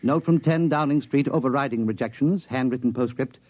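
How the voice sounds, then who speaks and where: An actor playing a brisk, polite British intelligence officer with a precise, dry manner, in a 1950s radio drama. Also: male voice, mid-range voice, dry recording, dull audio, natural voice. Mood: crisp, pleased.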